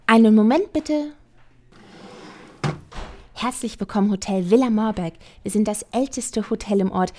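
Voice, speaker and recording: A literal-minded, officious receptionist at a small-town hotel acted by a woman in an audio drama. Her voice is dark and mellow, and she recites everything formally and at great length, down to the smallest detail. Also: mid-range voice, moderate pace, pauses often, slight background noise, lightly acted. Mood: formal, dutiful. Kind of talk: German audio drama acting.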